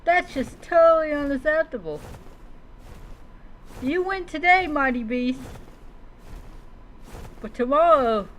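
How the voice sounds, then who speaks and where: High-voiced woman gamer over noisy background. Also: expressive, takes it slowly, long pauses, fairly bright tone. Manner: playful mock-heroic taunts, talking to creatures. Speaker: game streamer playing a roleplay survival game. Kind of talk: live in-character gameplay commentary.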